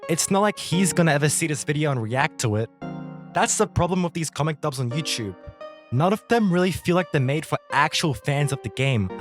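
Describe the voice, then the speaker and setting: A young man delivering a scripted commentary rant, slangy and melodramatic, drawing out words for emphasis. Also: on the high side. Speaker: video commentator. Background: music.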